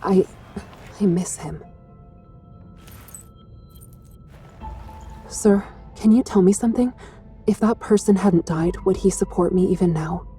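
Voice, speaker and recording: An actress playing an earnest, searching young heroine in a sci-fi game, speaking softly and hesitantly with feeling. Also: female voice, medium pitch, steady tone, normal speed, soft background music, close to an everyday voice. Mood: wistful, pleading. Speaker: voice actor in a game cutscene. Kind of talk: dramatic game voice acting.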